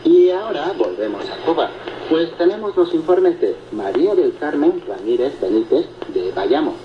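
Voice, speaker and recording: Lively male radio host on noisy shortwave. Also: a little high, normal speed. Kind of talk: reading and answering listener reports, conversational radio presenting. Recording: noisy shortwave radio reception, muffled, echoey. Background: loud static noise.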